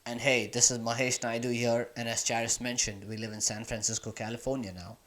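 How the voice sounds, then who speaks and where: A man's voice: mid-range voice, little pitch movement, brisk, fairly bright tone. A man from India with an Indian accent, presenting and sharing personal memories as a guest.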